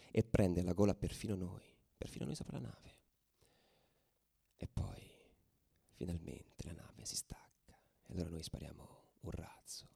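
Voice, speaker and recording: Actor as gravelly, intense male football ultra. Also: deep voice, heavily acted. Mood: tense, vivid. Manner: intense, deliberate, repeats phrases, swings between hushed and shouted. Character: fervent male football ultra recounting riots and away trips. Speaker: stage actor in a radio theatre piece. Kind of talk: dramatic first-person theatrical monologue.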